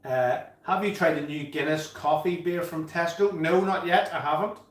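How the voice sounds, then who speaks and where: A man in his fifties hosts a relaxed home-brewing livestream, reading viewer comments aloud and laughing easily. He sits back from the mic, so his voice sounds distant in an echoey room. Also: fairly high pitch, normal speed, a little hiss or hum. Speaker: livestream host.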